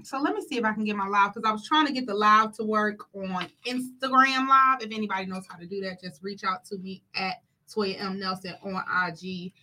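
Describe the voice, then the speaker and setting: A woman hosting a talk show in a casual, animated, slangy way, often repeating phrases for emphasis. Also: medium pitch, brisk, few pauses, a little hiss or hum, poor audio. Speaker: show host.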